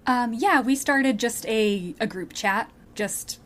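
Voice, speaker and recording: A woman's voice: a little high, slow, fairly bright tone. A woman speaking as a podcast guest, telling things in a casual, conversational way. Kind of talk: casual chat, recounting.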